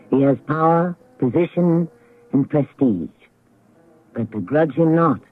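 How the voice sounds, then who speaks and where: A man hosting and narrating a radio drama with scripted, measured, theatrical delivery. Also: on the high side. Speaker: host and narrator. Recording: tinny, narrow-band old radio recording.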